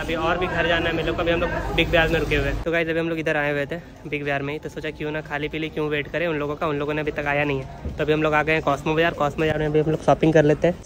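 A man's voice: a little high, level tone, rapid-fire. A young man in his twenties hosting a casual vlog, chatting and joking with viewers while holding the camera at arm's length outdoors. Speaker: vlogger host. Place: outdoors at a pool resort and in a shop. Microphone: handheld camera at arm's length. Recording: crowd noise outdoors.

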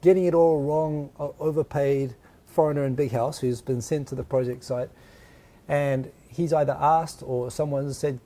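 Brief: male host, middle-aged, husky, dark, playful interviewer. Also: a little high. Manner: lively, joking, quick asides. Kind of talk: casual studio interview. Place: small studio set, seated on a sofa. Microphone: lapel mic.

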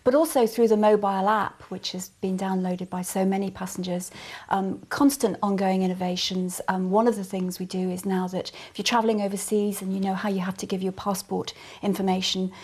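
A middle-aged woman speaks as an airline manager in a TV studio. Her answers are fluent and corporate, with lots of ums, and her voice sits in an average pitch. She sounds close to a clip-on mic. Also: level tone, fairly quick, darkish tone, husky. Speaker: airline commercial manager, guest. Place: small TV studio set.

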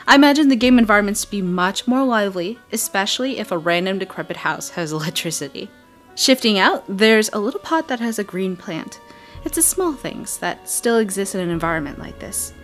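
Female game commentator, mid-pitched, casual and chatty with wry asides, light music bed underneath. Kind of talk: casual trailer breakdown commentary.

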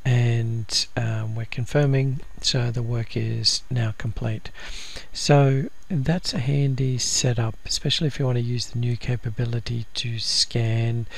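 Gravelly-voiced man giving a software walkthrough. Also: medium pitch. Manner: informal, step-by-step explaining. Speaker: software tutorial presenter. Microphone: screen-recording voice-over.

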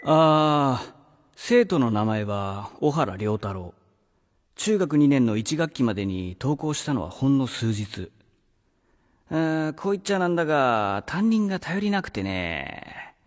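An actor playing a condescending middle-aged male vice-principal in a radio drama, halting and officious, in a remembered-voice effect. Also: mid-range voice, big pitch swings. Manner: halting, officious, with drawled hesitations. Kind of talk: Japanese radio drama acting. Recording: long reverb, music underneath.

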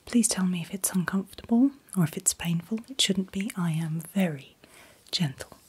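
A middle-aged woman speaking in a gentle, breathy whisper in short soft phrases, as a doctor in an ASMR roleplay. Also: fairly low pitch, normal speed. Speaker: ASMR performer playing a doctor.

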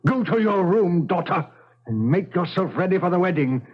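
An actor playing a pompous, stern middle-aged gentleman father, indignant and blustering, in a 1970s radio drama. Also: sits high, animated, moderate pace, slightly rough. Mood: stern, angry. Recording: muffled 1970s radio broadcast.

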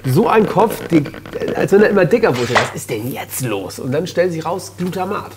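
A man's voice: fairly high pitch, big pitch swings, normal speed, heavy background noise. Chatty, jokey man hosting a cooking show. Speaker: TV chef and host. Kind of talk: casual cooking show chat. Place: studio kitchen set.

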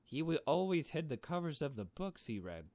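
A man's voice: a little high, big pitch swings, normal speed, a little mellow, dry recording, voice pushed up. A man plays a blunt young woman from a visual novel. She is distrustful and emphatic, pressing her accusations as a warning to a friend. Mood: insistent.

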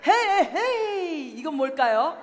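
A woman's voice: sits high, sing-song, slow pace, a little mellow. A woman in her thirties with a Jeolla accent, a pansori singer leading a playful lesson in a university hall, laughing often and coaching the audience. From Jeolla, Korea. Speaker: pansori singer teaching a class. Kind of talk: lively workshop lesson with sung demonstrations. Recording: long hall reverb from a concert hall.

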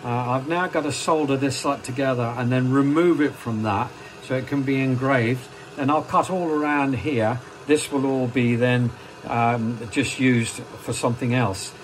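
A man's voice: mid-range voice, steady tone, normal speed, slight background noise, low-quality recording. An older man, a silversmith, explaining each step plainly as he works at his bench in a small workshop. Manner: practical, plain-spoken, step-by-step.

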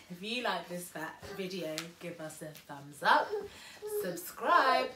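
A woman's voice: fairly low pitch, big pitch swings, slow, background noise. A young woman reading a children's story aloud at home as a storyteller. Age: young adult. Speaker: storyteller mother.

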